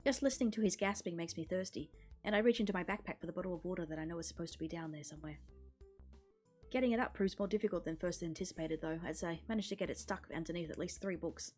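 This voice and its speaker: A woman's voice: on the low side, quick pace, a little mellow, slightly rough. A woman streamer casually narrating a visual novel's script aloud. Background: music.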